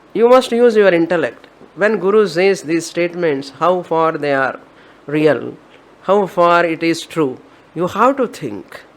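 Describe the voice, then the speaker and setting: A woman with an Indian accent, a spiritual teacher giving an emphatic, repetitive discourse on scripture. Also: on the low side.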